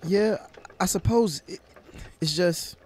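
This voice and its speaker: Man as an anxious, higher-pitched young city lawyer. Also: slow pace, darkish tone. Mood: reluctant. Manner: hesitant, deferential. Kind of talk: audio play acting.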